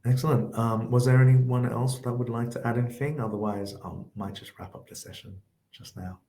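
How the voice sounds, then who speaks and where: A soft-spoken man hosting an online workshop, answering questions gently and reflectively. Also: medium pitch, fairly even intonation, a little fast, roomy sound. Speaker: workshop host.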